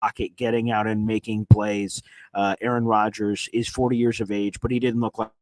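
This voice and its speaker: A man whose voice is nearly gone from screaming hosts a live sports reaction stream from a hotel room. He talks in an excited, rambling way, full of "uh"s and restarts. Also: fairly low pitch, moderate pace, dry recording, poor audio. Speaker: sports reporter and livestream host. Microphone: streaming setup on weak hotel wifi.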